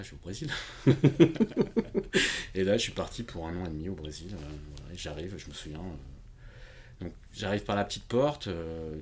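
A man's voice: sits low, darkish tone. A man being interviewed as a podcast guest, telling anecdotes casually and acting out quoted dialogue. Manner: informal, acts out quoted dialogue. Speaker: podcast guest, independent consultant. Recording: clearly audible background noise.

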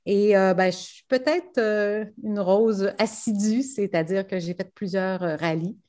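A woman speaks French with a Québécois accent at a middle pitch. She sounds enthusiastic and keen, laughing between answers and filling pauses with "euh" as she talks about her passion. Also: darkish tone. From Quebec. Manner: enthusiastic, laughs often, many fillers. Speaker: rally co-driver. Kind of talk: interview answers.

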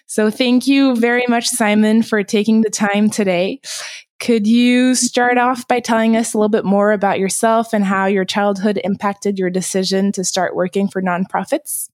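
A woman's voice: medium pitch, fairly even intonation, normal speed, darkish tone, no echo, clean studio sound. A woman hosting a podcast, reading a scripted introduction and putting questions to a guest. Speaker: podcast host.

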